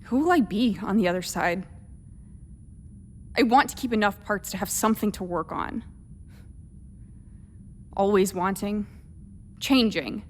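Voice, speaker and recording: Starship captain, female, played by a woman, radio play. Reflective and caring, unhurried, mid-pitched, music underneath. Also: a little hiss or hum, close to an everyday voice. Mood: pensive, searching. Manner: halting, deliberate inner monologue and firm orders.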